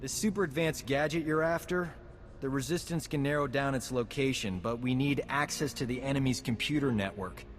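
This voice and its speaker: A man's voice: medium pitch, a little fast, soft background music, lightly acted. Man acting a wry rebel field doctor in reverb. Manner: wry, flippant. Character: wisecracking, dedicated male rebel field doctor. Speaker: Resistance field doctor character. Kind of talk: video game cutscene acting.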